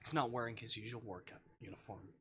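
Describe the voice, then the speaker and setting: A man's voice: on the low side, steady tone, quick pace, pushed and bright, a little hiss or hum. A man streaming a dramatic read-aloud of visual novel text, sometimes stumbling over words and rereading them.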